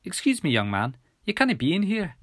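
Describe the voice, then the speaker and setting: A man voicing a brisk, reassuring female paramedic with a Scottish accent in an audiobook story. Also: high voice, huge pitch swings, fairly bright tone, slightly rough, no echo, clean studio sound, voice pushed up, full character voice. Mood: firm.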